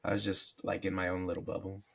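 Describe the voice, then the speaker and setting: Young guy, raspy today, low voice, halting and wistful. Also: monotone. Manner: hesitant, wistful, many fillers. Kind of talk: casual chat.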